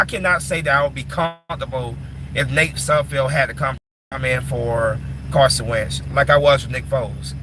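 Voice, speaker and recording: Man in his twenties, casual live chat, stumbling restarts, middle pitch, noisy with traffic behind. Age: 20s. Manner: repeats and restarts words, calls listeners "man". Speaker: live stream host answering chat.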